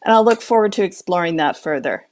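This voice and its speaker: A middle-aged woman on a video call with earphones, giving measured, articulate opening remarks as a panelist from a room at home. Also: on the low side, lively intonation, darkish tone. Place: home room with shelves, indoors.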